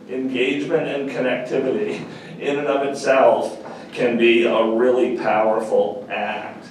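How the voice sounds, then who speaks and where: A middle-aged man speaks earnestly at a measured pace, repeating key phrases for emphasis. His voice sits at an ordinary pitch and is heard from across a very echoey university meeting room. A faint room hum sits behind him. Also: distant mic. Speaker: panel speaker. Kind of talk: reflective public talk. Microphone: far camera.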